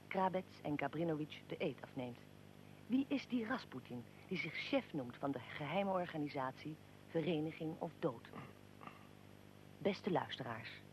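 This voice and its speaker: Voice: mid-range voice, darkish tone, a little hiss or hum, close to an everyday voice, rough recording. A performer playing a probing, well-prepared interviewer and narrator in a historical radio drama, formal and articulate.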